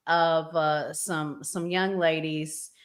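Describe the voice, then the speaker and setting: Slow, low-pitched American woman, thoughtful. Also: fairly even intonation. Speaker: podcast co-host. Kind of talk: casual conversational chat.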